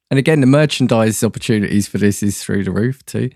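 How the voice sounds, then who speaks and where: A man's voice: fairly low pitch, a little fast, darkish tone, no echo, clean studio sound. A man guesting on a podcast, chatting in an easygoing, appreciative way. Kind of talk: casual chat.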